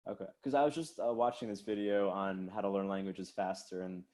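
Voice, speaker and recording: A man's voice: low-pitched, fairly quick, darkish tone. A man hosting a podcast, asking curious questions in a casual way. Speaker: podcast host. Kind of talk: casual interview.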